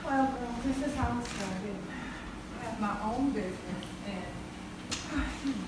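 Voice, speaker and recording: A woman's voice: a little high, a little slow, very noisy, lightly acted. A woman acting an earnest, devoted wife with a troubled marriage in a stage play. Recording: echoey stage, distant mic, loud music.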